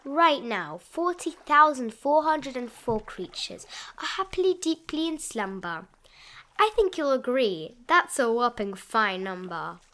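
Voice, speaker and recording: A woman reading a rhyming children's picture book aloud in an expressive sing-song manner. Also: high voice, measured pace. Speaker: storybook reader.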